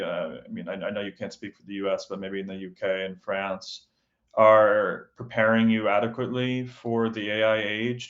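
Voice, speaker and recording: A man hosting a conversational news interview, putting questions to guests. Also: lowish voice. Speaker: interview host. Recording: muffled audio with clear background noise.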